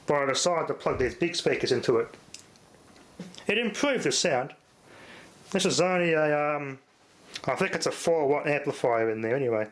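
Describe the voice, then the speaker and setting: A man's voice: on the high side, a little hiss or hum. A man with an Australian accent giving a casual, unscripted demonstration in a laid-back, rambling, matter-of-fact way. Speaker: host of a repair channel.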